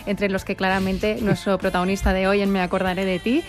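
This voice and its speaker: A woman in her thirties hosting a radio interview, asking questions and reading passages aloud in a measured way. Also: mid-range voice, level tone, over music. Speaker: radio host.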